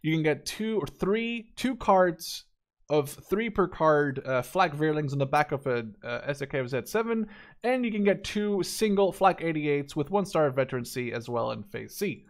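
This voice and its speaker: A man's voice: fairly high pitch, lively intonation, normal speed. A man in his twenties giving casual, unscripted gameplay commentary in a rambling, opinionated, informal way. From Netherlands. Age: 20s. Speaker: gaming YouTuber reviewing a game deck.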